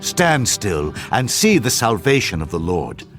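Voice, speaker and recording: A man's voice: lowish voice, sing-song, unhurried, full character voice. A man playing a resolute prophet leading his people, proclaiming in a declamatory style in a scripture drama. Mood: firm reassurance. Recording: loud music bed.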